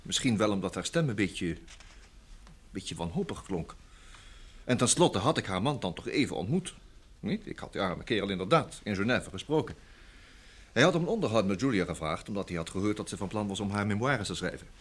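A man's voice: on the low side, animated, a little fast, husky. A man acting a polite, busy secretary in an old Dutch radio drama, speaking in hesitant phrases with repeated words. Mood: explaining, uneasy. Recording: vintage radio drama recording.

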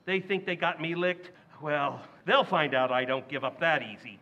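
An actor playing a loud, indignant working-class family man in a radio play. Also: a little high, moderate pace. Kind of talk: old-time radio drama acting. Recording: dull lo-fi recording.

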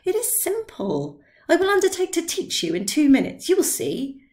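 A woman voicing an excitable, drunken old man who talks eagerly and loudly in a read-aloud story. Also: normal speed. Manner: eager, pleading, high and loud.

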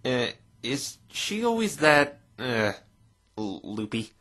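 A man voicing an earnest, bemused defense lawyer in a fan dub, asking measured questions with dry puzzlement. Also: mid-range voice, very animated, bright, forceful tone, heavily acted. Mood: hesitant. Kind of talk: fan dub voice acting of a visual novel. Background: music.

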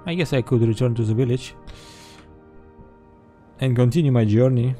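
A man giving in-character gameplay commentary, halting and musing to himself. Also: medium pitch, warm tone. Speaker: gamer doing a roleplay playthrough. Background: game music.

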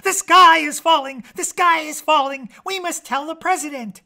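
A man voicing a dim, panicky female chicken in a storybook, shouting frantically. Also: extremely high pitch, normal speed, vocal fry, voice pushed up, heavily acted. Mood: panicked. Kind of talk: storybook character voice.

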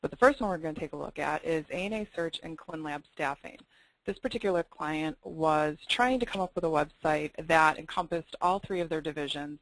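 A webinar presenter talks an audience through a series of slides, explaining each point plainly and now and then stumbling over a filler. The voice carries a gravelly, creaky edge, and it sounds dry and close, with no room around it. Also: medium pitch, brisk, a bit bright, no room sound, low-quality recording. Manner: clear, explanatory, occasional fillers. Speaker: web design presenter.

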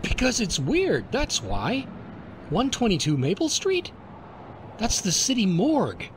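An actress playing a shocked, excitable street bystander in an old-time radio drama style. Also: female voice, medium pitch, measured pace. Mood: baffled. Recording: noisy street ambience, traffic.

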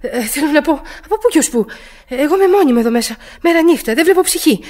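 An actress in a radio drama playing an anxious, querulous bedridden wife, agitated, hesitant and insistent. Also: female voice, sits high, animated, darkish tone. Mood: fretful.

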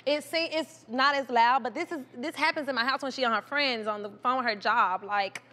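A young woman guest on a talk show, telling personal stories in an animated, indignant, colloquial way. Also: on the high side, a little fast. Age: young adult. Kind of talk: emphatic personal storytelling. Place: large TV studio with audience. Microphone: clip-on lapel mic. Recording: reverberant TV studio.

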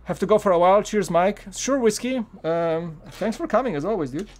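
A man's voice: sits high, expressive, fairly quick, a little mellow. A man in his thirties from Poland with a Polish accent, chatting casually on a live stream while building, with lots of fillers and restarts. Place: home studio room.

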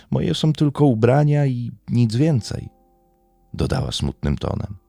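A man narrating a scripted true-crime story in a measured storytelling manner with dramatic pauses. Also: fairly low pitch, warm tone, no echo.

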